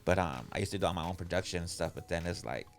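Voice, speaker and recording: A man's voice: sits low, very fast, soft background music. A man hosting a podcast, chatting in a laid-back, slangy, rambling way. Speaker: podcast host. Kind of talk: casual interview chat.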